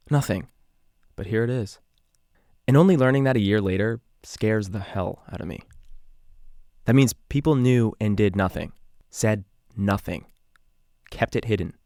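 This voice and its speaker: A man playing a haunted investigative podcast host, narrating conversationally and confidingly with pauses for effect. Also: on the low side, darkish tone, dry recording, clean studio sound, close to an everyday voice. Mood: unsettled. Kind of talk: conversational scripted true-crime narration.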